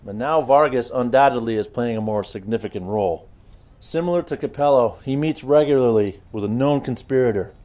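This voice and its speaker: An actor playing a brisk, authoritative intelligence chief briefing his team in a video game cutscene, businesslike and commanding. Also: mid-range voice, warm tone, natural voice.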